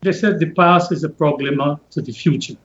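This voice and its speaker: Skeptical panelist guy, slightly high voice, roomy echo, muffled call sound. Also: brisk, fairly bright tone. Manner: pointed, skeptical questioning. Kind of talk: online panel discussion. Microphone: video call. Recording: echoey room, dull call audio.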